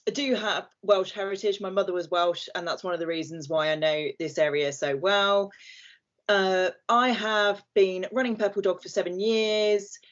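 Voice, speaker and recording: Woman, from southern England, lowish voice, friendly, chatty presenter, dull call audio. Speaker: guest speaker and company head. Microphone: video call. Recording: muffled video call audio.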